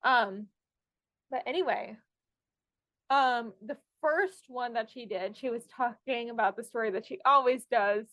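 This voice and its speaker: A woman's voice: fairly high pitch, a little slow, a bit bright, dry recording. A woman hosting a podcast, telling anecdotes in a chatty, enthusiastic fan style. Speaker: podcast host. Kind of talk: casual fan chat and anecdotes.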